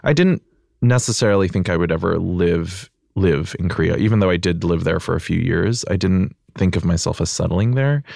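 A young man from the United States speaks as a podcast guest, in a deep gravelly voice. His talk is thoughtful and loose, full of "like" and "you know". The sound is dry and close. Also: darkish tone, dry recording. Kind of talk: reflective interview conversation.

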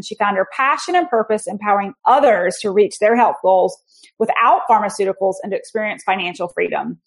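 A podcast host with a slightly raised pitch. She reads through a guest introduction in an eager, chatty way, often restarting her phrases.

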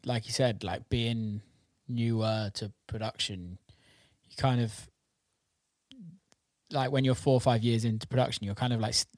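A man's voice: medium pitch, fairly even intonation, normal speed, darkish tone, no echo, studio quality. A man chatting casually as a music producer, informal and sweary, peppering his talk with "like". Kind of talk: casual chat.

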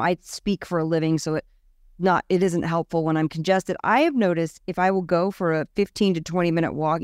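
Smooth-voiced curious woman co-host. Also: low voice, a little mellow, no room sound, studio quality. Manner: curious, chatty, lots of questions and fillers. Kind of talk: casual health talk.

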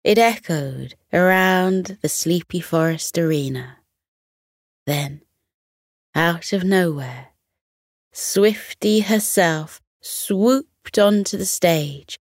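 A woman narrating a children's bedtime story in a gentle, expressive storytelling manner. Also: lowish voice, takes it slowly, no room sound, clean studio sound.

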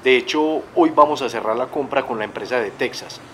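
A man's voice: medium pitch, fairly quick, a bit bright, natural voice. Man acting as assured businessman, faint background noise. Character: businessman updating a colleague on a machinery purchase, assured. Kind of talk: scripted podcast dramatization.

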